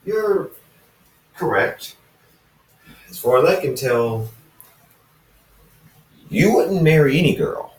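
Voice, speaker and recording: A man's voice: a little high, very animated, slow, pauses often, voice pushed up. Man reading as a blunt ancient companion, swooping intonation. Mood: probing. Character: ancient immortal companion, blunt, probing, worldly-wise. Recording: roomy echo, distant microphone.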